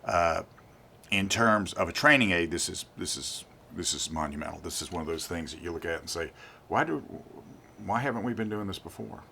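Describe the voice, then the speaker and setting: An older man with a low-pitched voice hosts an informal gear review. He talks straight to his viewers in a deliberate, emphatic way, repeating words to drive a point home. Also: animated, vocal fry, sharp tone, a little hiss or hum. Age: 50s or older. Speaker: product reviewer, channel host.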